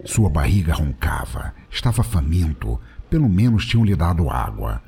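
A man's voice: very deep voice, sing-song, normal speed, creaky, warm tone, breathy edge. A man reading scripted narration for an audio drama.

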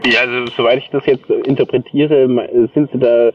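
A man speaking on the phone in a halting, hedging, somewhat apologetic way. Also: mid-range voice, fairly even intonation, distant mic, lo-fi sound, a little hiss or hum. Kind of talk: hesitant phone conversation. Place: on the phone from a hotel room.

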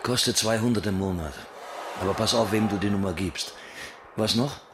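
Brief: male actor as wisecracking, wary back-room dealer, radio crime drama; lowish voice, laid-back, noisy ambience. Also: moderate pace, close to an everyday voice. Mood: wary, businesslike.

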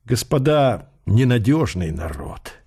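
Slow, husky male narrator. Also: medium pitch, very animated, dark tone. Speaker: narrator of an audio drama. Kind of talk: literary scripted narration.

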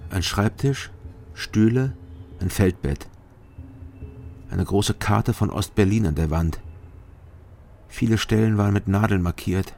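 A man's voice: lowish voice, a little slow, vocal fry, pauses often, a little mellow, slight background noise. An actor playing a sober, guarded East German man who tells his story tersely in a radio crime drama. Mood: sober narration. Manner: terse, understated. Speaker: narrator and lead character. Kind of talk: radio crime drama, first-person narration. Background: music.

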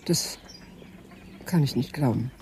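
An actress playing a genteel sanatorium owner in a German radio crime drama, polite, hesitant and evasive. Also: female voice, rumbling low, slow pace, dark tone, slight background noise, exaggerated voice. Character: genteel, guarded lady owner of a private sanatorium.

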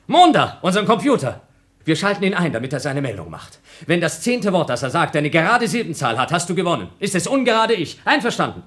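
An actor playing a scheming, desperate crewman on a space station in a German radio play, husky and insistent. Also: fairly high pitch, very animated, brisk, a bit bright. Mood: coolly scheming. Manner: domineering, theatrical, insistent.

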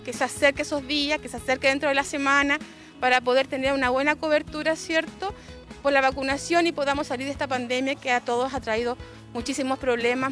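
A woman's voice: high voice, a bit bright. A Chilean woman speaking spontaneously as a health official in an interview, earnest and often checking with tag questions. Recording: music behind the voice. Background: faint music.

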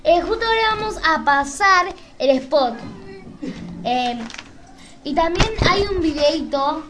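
A woman's voice: extremely high pitch, lively intonation, takes it slowly, noisy background. A woman with an Argentine accent hosting a casual live radio chat, announcing in a hesitant, informal way. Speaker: radio show host.

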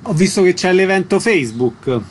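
A man's voice: sits high, animated, moderate pace, background noise. A man hosting a radio show, putting questions to guests and announcing events.

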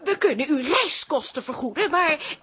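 A woman's voice: high voice, sing-song, moderate pace, fairly bright tone. An actress playing an earnest, desperate amateur theatre club chairwoman, polite and gushing, in a radio comedy. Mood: pleading. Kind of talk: Dutch radio comedy drama acting.

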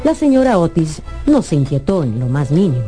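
Low-voiced woman narrating a radio drama. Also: sing-song, brisk, over music.

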